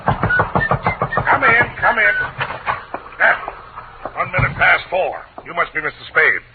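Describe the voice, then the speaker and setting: An actor playing a pompous, moralizing newspaper boss in a 1940s radio drama, curt and declamatory. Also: male voice, high voice, huge pitch swings, slow pace, exaggerated voice. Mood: brusque, stern. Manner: curt, self-important, declamatory. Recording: tinny, noisy old radio recording.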